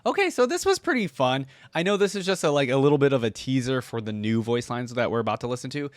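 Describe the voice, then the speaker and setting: A man's voice: medium pitch, lively intonation, fast talker, soft background music. A man hosting a reaction video in a casual, easygoing, chatty way, sometimes reading aloud.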